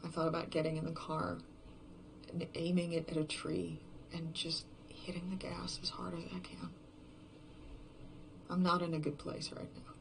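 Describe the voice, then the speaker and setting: A performer voicing a despairing, obsessive lone survivor in a horror fiction podcast, brooding and halting in a confessional monologue, often dropping toward a whisper. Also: mid-range voice, completely flat delivery, vocal fry, slight background noise, lightly acted. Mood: hopeless, drained.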